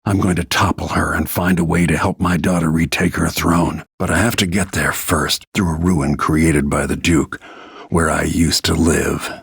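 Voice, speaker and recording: A man voicing a grim, middle-aged assassin and protective father in a video game, gravelly and husky, with terse, brooding, controlled delivery. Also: extremely low pitch, fairly even intonation, moderate pace, fairly bright tone, dry recording, clean studio sound, full character voice.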